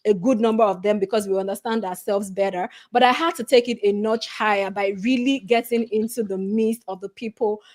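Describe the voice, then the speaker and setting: A middle-aged woman from Nigeria speaking as a guest on a career panel, earnest and conversational, often filling pauses with "you know". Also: medium pitch, steady tone, a little mellow.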